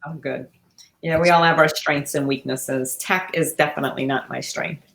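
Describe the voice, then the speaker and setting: A woman's voice: sits low, steady tone, normal speed, creaky, a bit bright. A woman co-hosting a podcast, chatting casually with a guest in an interview. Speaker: podcast co-host. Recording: echoey, roomy sound.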